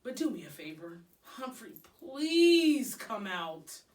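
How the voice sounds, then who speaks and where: A woman's voice: fairly high pitch, sing-song, slow pace, darkish tone, roomy sound, far from the mic, voice pushed up. A woman voicing an exasperated father and school principal who pleads and gives firm orders in a children's storybook. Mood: anxious, pleading.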